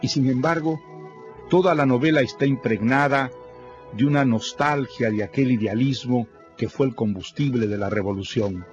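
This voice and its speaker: A man giving a scripted literary introduction as a radio presenter, formal, measured and erudite. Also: medium pitch. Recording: dull radio sound with faint music.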